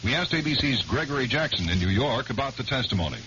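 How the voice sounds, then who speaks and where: A man's voice: medium pitch, expressive, quick pace. An American man anchoring a scripted network radio newscast. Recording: muffled old radio, faint noise.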